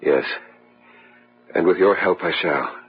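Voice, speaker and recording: Brooding bitter husband, low grave voice, old radio play, muffled with music. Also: male voice, measured pace. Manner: grave, terse, overwrought. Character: tormented, brooding, resentful husband. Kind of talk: old-time gothic radio acting. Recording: dull vintage radio recording, music behind.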